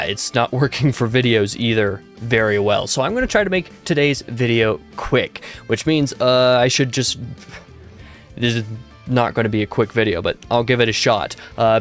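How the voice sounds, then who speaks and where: Hoarse man rambling about books. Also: mid-range voice. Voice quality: weak, scratchy voice. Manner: chatty and rambling, with many fillers and self-corrections. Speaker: host. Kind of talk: casual book review vlog.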